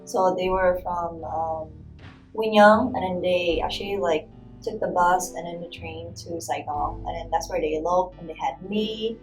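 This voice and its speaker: Young woman from vietnam rambling through her story, lots of "like" and "um", soft guitar behind. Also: lowish voice, measured pace, rough recording. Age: young adult. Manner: casual, rambling with many fillers. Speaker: student interviewee. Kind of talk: personal storytelling.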